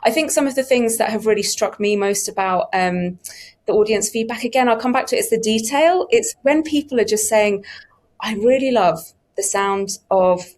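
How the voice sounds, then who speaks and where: A woman's voice: mid-range voice, moderate pace, darkish tone. Woman with a mid-range voice chatting on a panel, really enthusiastic and emphatic. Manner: effusive, emphatic, conversational. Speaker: audiobook production team member on a panel. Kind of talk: enthusiastic panel interview talk.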